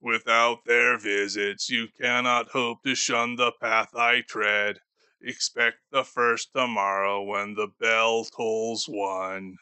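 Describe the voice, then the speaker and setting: A man voicing a doomed, grieving male ghost bound in chains, speaking slowly and gravely for an audiobook. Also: on the low side, little pitch movement, sharp tone, no echo, full character voice. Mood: mournful. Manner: slow, solemn and grave. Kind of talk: audiobook character voice.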